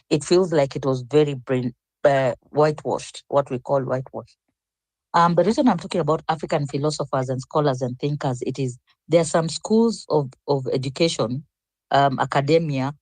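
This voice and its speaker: A woman's voice: deep voice, darkish tone, no echo. An older woman speaking as a panelist in an online discussion, reflective and rambling with frequent restarts.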